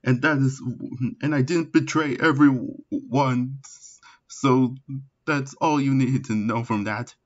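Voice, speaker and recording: A solemn scientist grandfather, played by a man, talks at middle pitch and a measured pace. He is regretful and halting, stammering through a parody confession. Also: fairly even intonation, lo-fi sound, lightly acted. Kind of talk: improvised parody fandub.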